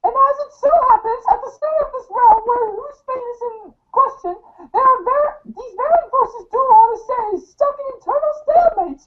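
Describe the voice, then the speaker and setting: A woman voicing a doting, cryptic old grandmother ghost with a strained, squeaky, theatrical voice for a storybook read-along. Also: normal speed, few pauses, lo-fi sound. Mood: mysterious, grand. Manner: theatrical, teasing.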